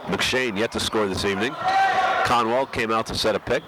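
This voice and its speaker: A man with a head cold, a little nasal and hoarse, calling a high school basketball game play by play with frequent restarts. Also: moderate pace. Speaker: play-by-play announcer. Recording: loud crowd and gym noise behind. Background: crowd and bouncing basketball.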